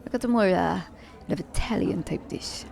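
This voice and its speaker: A woman playing a confident, selfish young assassin in an ASMR romance roleplay, speaking in a teasing, halting manner. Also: medium pitch, gravelly, a little mellow, some chatter behind. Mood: teasing, relaxed.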